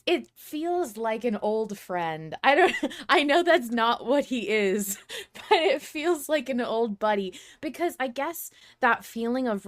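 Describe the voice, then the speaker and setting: A woman's voice: a little high, dry recording. A woman hosting a podcast, chatting casually as a fan. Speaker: podcast host.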